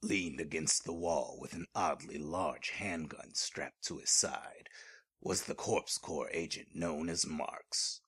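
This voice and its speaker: A man narrating a scripted horror audio drama in a very deep, husky voice. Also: takes it slowly, a bit bright, exaggerated voice. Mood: grave.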